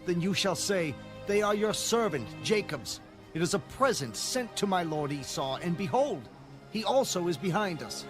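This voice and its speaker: High-pitched male patriarch played by an actor, anxious and pleading, archaic phrasing, audio Bible drama, music behind. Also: big pitch swings, normal speed. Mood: instructing, earnest. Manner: formal, archaic scripture phrasing. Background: background music.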